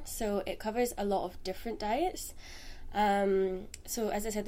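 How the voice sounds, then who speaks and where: Mellow woman dietitian. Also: medium pitch. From Scotland. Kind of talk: explanatory podcast guest.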